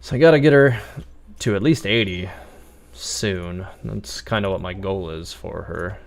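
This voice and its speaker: A man's voice: low voice, unhurried, creaky. A man doing casual game commentary on a livestream, chatting and thinking aloud as he plays. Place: indoors at a computer.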